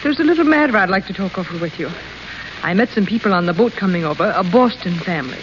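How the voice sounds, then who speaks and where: A woman's voice: mid-range voice, animated, fast, a little hiss or hum, close to an everyday voice. An actress playing a haughty, scheming rich young woman in 1930s radio acting, cool and commanding, on a muffled old radio broadcast. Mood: sly.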